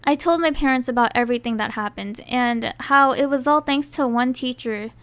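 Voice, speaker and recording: Bright-voiced young woman, chatty storytime. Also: high-pitched, a little fast, no room sound. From United States. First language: Thai. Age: young adult. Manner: chatty, self-deprecating, wry asides. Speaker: animator telling her own story. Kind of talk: storytime narration.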